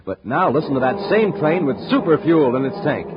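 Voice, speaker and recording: A man's voice: on the high side, expressive, fairly quick. A man announcing and narrating a 1950s radio show in a dramatic, punchy scripted style. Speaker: announcer and pitchman. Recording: noisy, muffled 1950s radio recording.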